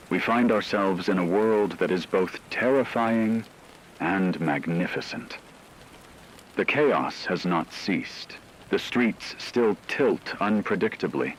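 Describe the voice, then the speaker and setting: A man delivering dramatic scripted narration in a grave, measured, portentous way with deliberate pauses. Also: low voice, a little hiss or hum, poor audio. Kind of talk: dramatic scripted narration in the manner of a radio story.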